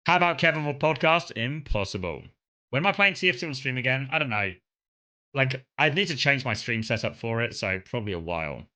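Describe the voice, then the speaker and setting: A man's voice: medium pitch, lively intonation, brisk, bright, forceful tone. A young man from Kent with a southern English accent, chatting casually and rambling to his stream viewers, full of fillers and restarts. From England (Kent).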